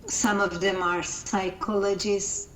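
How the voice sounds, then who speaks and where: A woman's voice: medium pitch, little pitch movement, a bit bright, roomy sound, slight background noise, low-quality recording. A woman with a Hungarian accent, a native Hungarian speaker, talking earnestly in an interview over a video call, often restarting her sentences. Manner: earnest, halting, with repeated restarts. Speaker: guest, coach and founder.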